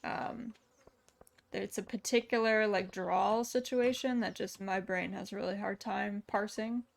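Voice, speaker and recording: A woman's voice: medium pitch, steady tone, a little slow, gravelly. A woman streaming a game, chatting casually and answering viewer chat with lots of fillers. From United States. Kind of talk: casual chat while gaming.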